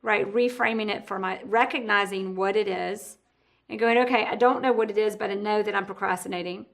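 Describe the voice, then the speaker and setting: Talkative woman with a creaky voice. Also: mid-range voice, steady tone, brisk. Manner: talkative, thinking out loud with self-corrections. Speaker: podcast host, interviewer. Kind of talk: casual conversational interview.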